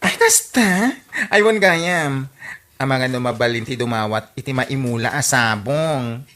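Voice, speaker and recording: An actress plays a friendly, gossipy neighbour woman in an Ilocano radio drama. Her voice is low for a woman, and she chats in an Ilocano accent. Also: lively intonation, unhurried, a bit bright, exaggerated voice. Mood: friendly, admiring.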